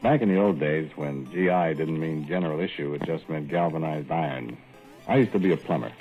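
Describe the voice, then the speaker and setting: An actor playing a talkative older ex-plumber, spinning a folksy, rambling yarn in 1940s radio drama style. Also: male voice, rumbling low, brisk. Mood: reminiscing. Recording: tinny old radio broadcast with faint music.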